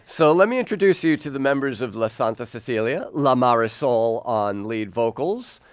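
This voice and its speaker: A man hosting a conversational studio music interview, asking thoughtful questions in a halting, exploratory way. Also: mid-range voice, sing-song, crowd noise. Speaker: radio host and interviewer. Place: radio studio.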